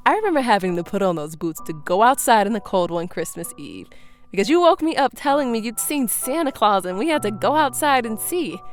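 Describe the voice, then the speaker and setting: A woman's voice: a little high, big pitch swings, brisk, soft background music. Firm, earnest woman police chief. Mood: reminiscing. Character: stern, principled small-town police chief, protective older sister. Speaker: actor. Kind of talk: audio drama acting.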